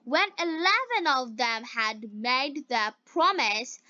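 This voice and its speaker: A woman narrating a scripted fairy tale for English beginners, phrasing it deliberately in short, separated chunks. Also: high voice, expressive, sharp tone, dry recording, muffled recording. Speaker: storyteller for English learners.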